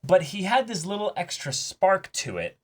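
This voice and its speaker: A man's voice: sits high, wildly swooping pitch, normal speed. A man hosting a reaction show, discussing an episode casually and earnestly, often searching for his words.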